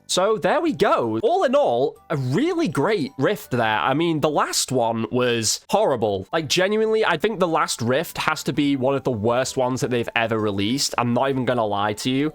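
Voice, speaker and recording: Casual chatty man reviewing game content. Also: mid-range voice. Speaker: gaming video host.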